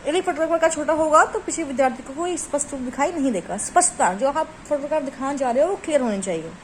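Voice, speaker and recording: A woman with a fairly high voice teaches a lesson, reading formal textbook lines and then explaining them again in everyday Hindi sprinkled with English words. A faint hiss sits under her voice. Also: expressive, fast, low-quality recording. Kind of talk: explaining from study notes.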